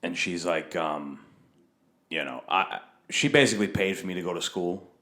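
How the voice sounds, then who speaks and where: Deep-voiced young man, blunt and profane. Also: moderate pace, a bit bright. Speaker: podcast host. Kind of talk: casual chat. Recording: echoey room.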